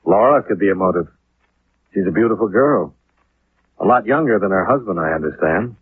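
An actor playing a tough, skeptical American insurance investigator in a 1950s radio drama, with a dry, clipped, probing delivery. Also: male voice, sits low, animated, brisk, a little hiss or hum. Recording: tinny, muffled 1950s radio recording.

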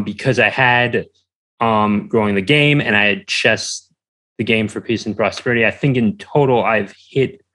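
Halting young man with a lowish voice. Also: a bit bright. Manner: hesitant, halting delivery. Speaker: podcast interview guest. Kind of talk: casual conversational interview answers.

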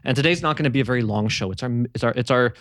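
A man hosts a loose, chatty podcast. He rambles and often stumbles over repeated words, with a mid-range pitch. The sound is dry and close to the mic. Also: very fast, fairly bright tone, no room sound. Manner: rambling, repeats words while searching for them. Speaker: podcast host. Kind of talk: casual chat. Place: home in eastern Germany.

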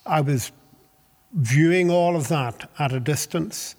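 An older man with a Northern Irish accent, telling his life story in an interview in a measured, hesitant way. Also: on the high side, darkish tone, long reverb tail. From Northern Ireland. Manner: measured, hesitant with fillers and restarts. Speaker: interviewee, Baptist minister. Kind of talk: reflective storytelling.

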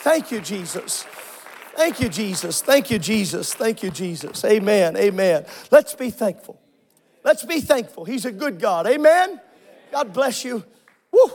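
A man with a very high voice preaches in a church, winded and fervent, his words running in chanted repeats that rise to shouts and sink to hushed murmurs. A congregation can be faintly heard behind him. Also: big pitch swings, unhurried, some chatter behind. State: out of breath. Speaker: pastor. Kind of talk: Pentecostal preaching and prayer.

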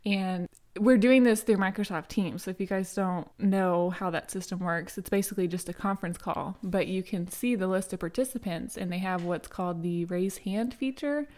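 A young adult woman hosting a casual solo podcast, her throat a little raspy today, telling a story in a chatty way with plenty of fillers and restarts. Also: mid-range voice, normal speed, a little mellow. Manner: chatty, many fillers and restarts, light laughs. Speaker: podcast host.

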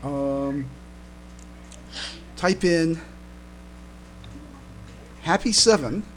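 A slightly high-voiced man co-hosts a casual fan podcast. He talks slowly and haltingly, doubling back on his words. There is a light layer of noise on the recording. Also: low-quality recording. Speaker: podcast co-host.

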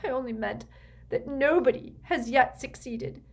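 A woman's voice: sits high, measured pace, breathy edge, pitched above the performer's own voice, exaggerated voice. A woman voicing a desperate, tearful aristocratic mother in an audiobook, pleading and sobbing. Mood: desperate, begging. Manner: sobbing, beseeching. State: crying.

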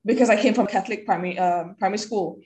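A woman's voice: medium pitch, rapid-fire, a little mellow. A young woman from Singapore with a Singaporean English accent, chatting casually as a podcast guest and filling her speech with "like". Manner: chatty, many "like" fillers. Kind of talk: casual interview chat.

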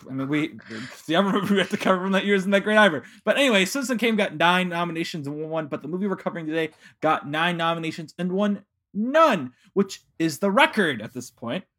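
Man, Chicago accent, podcast host, bright tone, slightly high voice, jokey. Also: expressive. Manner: playful, jokey, quick asides. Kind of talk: casual podcast chat.

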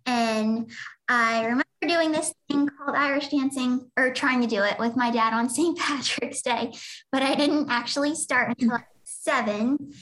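A woman's voice: high-pitched, normal speed, a bit bright, low-quality recording. A young woman guest speaking bubbly and giggly about her dancing. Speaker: dance director.

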